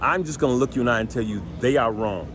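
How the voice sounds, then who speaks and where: A deep-voiced man gives casual stock trading advice to his viewers. He is emphatic and repeats himself to make each point land. His pitch sits mid-range, and steady traffic and street noise run behind him. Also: fast talker. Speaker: stock trading channel host.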